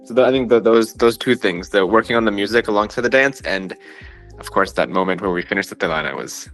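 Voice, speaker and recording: A man's voice: fairly low pitch, fast, a bit bright, soft background music, poor audio. A young man from Dallas, a student dancer, talking hesitantly with many restarts. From Dallas, Texas. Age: young adult. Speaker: dancer guest.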